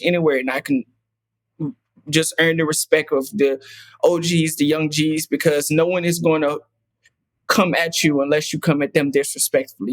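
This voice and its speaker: A man's voice: fairly high pitch, dry recording. A man in his twenties, a podcast guest talking earnestly and reflectively, with many fillers and restarts. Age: 20s. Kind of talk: casual interview conversation.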